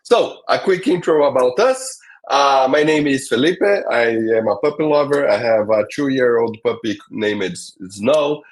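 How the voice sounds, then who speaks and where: A man's voice: mid-range voice, lively intonation, measured pace, rough recording. A man hosting a technical webinar, talking through slides with frequent fillers and "all right?" check-ins. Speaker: webinar host. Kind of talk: presenting a tech webinar.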